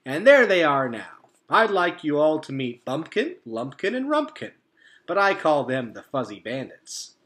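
A man voicing a jolly, confident male beaver handyman in a storybook reading, booming and slow. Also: big pitch swings, fairly bright tone. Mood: hearty.

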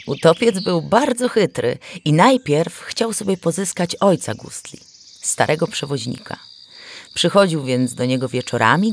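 A woman narrating a fairy tale in a theatrical, expressive storytelling manner. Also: low-pitched, a little hiss or hum. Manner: theatrical, animated. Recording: music behind the voice. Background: music.

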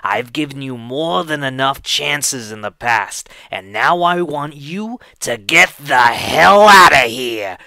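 A man acts out a fed-up landlord in a comedy audio drama. The voice is bright and gravelly, with slow, menacing delivery that scolds and gloats. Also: mid-range voice, animated, no echo, full character voice. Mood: angry, vengeful. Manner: menacing, scolding, drawn-out emphasis. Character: vengeful, fed-up male landlord evicting tenants.

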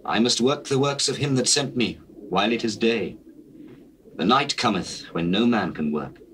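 An actor playing a solemn, authoritative holy teacher, declaiming scripture in an audio drama. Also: male voice, fairly low pitch, expressive, moderate pace, fairly bright tone. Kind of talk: dramatized scripture reading, stage-like acting. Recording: noisy old cassette tape recording.

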